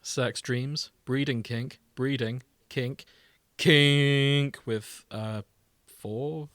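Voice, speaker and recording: A man with a mid-pitched voice co-hosts a casual comedy podcast. He banters with mock indignation, and the sound is dry, with very little room in it. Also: steady tone, drawn-out words, a bit bright, no room sound. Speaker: podcast co-host. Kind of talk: casual comedic chat, reading out fan fiction tags.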